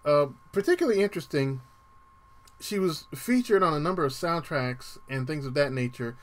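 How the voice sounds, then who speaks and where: A man hosting a music show with a dry voice, talking casually through the playlist with frequent ums and the odd throat clearing. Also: on the high side, lively intonation, normal speed. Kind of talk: casual radio-style show talk.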